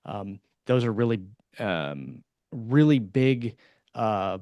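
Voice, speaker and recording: A man's voice: on the low side, sing-song, slow, a little mellow, no room sound. A man co-hosting a podcast in casual discussion, often checking that the listener agrees. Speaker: podcast co-host.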